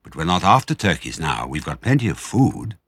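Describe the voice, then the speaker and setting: A man voicing a confident, cunning father fox with a smooth-talking, commanding manner, for a storybook. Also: a bass voice, sing-song, a little fast, a bit bright, dry recording, clean studio sound, full character voice.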